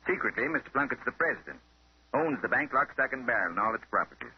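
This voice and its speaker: Fast-talking older police inspector. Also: male voice, mid-range voice, lively intonation, breathy edge, no room sound. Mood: brisk, amused. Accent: American. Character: brisk, folksy older male police inspector. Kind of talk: 1930s radio mystery acting. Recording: muffled 1930s radio recording.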